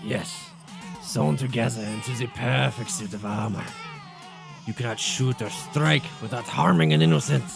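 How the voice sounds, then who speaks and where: A sinister mad scientist acted by a man, with a gravelly mid-range voice over clear background music. He is smug and theatrical, proud of his grisly work, like a radio-play villain. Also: medium pitch, normal speed. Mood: smug, menacing. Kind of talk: audio drama villain acting.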